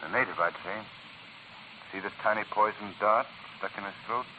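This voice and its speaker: An actor playing a decisive, daring man sleuth, brisk and commanding, in a 1940s radio adventure. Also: fairly low pitch, lightly acted. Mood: grave, measured. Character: decisive, daring male amateur sleuth, cool under danger. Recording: crackly, tinny 1940s radio recording.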